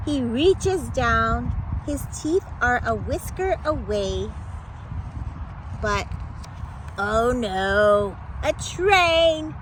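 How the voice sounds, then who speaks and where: A woman reading a picture book aloud to children in a dramatic, playful storytelling manner with exclamations and suspenseful pauses. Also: fairly high pitch, expressive, slight background noise, low-quality recording.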